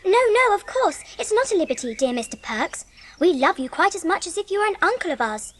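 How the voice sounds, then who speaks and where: An English girl, earnest and expressive, the bossy elder sister of a storybook drama. Her voice is very high and animated. Faint noise sits behind it. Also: fairly quick. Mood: earnest, confused. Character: earnest, sensitive, bossy older-sister schoolgirl. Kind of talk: dramatised audiobook acting.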